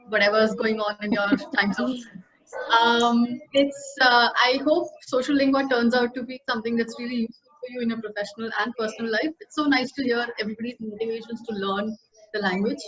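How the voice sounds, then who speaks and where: A slightly high-voiced woman welcomes everyone warmly and enthusiastically, with lots of fillers. The sound is dull and lo-fi, like a video call. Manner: warm, enthusiastic, uses many fillers. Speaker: webinar organizer. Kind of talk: welcoming opening remarks.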